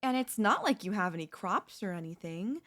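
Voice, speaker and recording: A woman's voice: medium pitch, expressive, normal speed, a bit bright, natural voice. A woman voicing a chatty, falsely friendly female zombie in a roleplay audio, coaxing the listener in a wheedling, conversational way with hesitant slips. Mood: pushy, wheedling.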